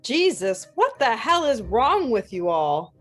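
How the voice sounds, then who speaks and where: High-voiced woman acting a hurt young college woman. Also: big pitch swings, a little slow, a bit bright, soft background music, full character voice. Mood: angry. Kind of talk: virtual dramatic reading.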